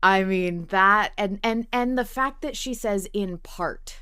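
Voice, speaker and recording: A woman's voice: medium pitch, slow, pushed and bright, clean tone. A woman co-hosting a casual fan discussion of a fiction podcast in an agreeable, conversational way, with occasional swearing. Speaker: podcast co-host.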